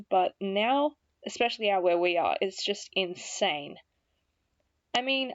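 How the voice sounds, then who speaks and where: A woman's voice: mid-range voice, no room sound, muffled recording. A woman hosting a radio-style show in a casual, chatty way with dry understatement.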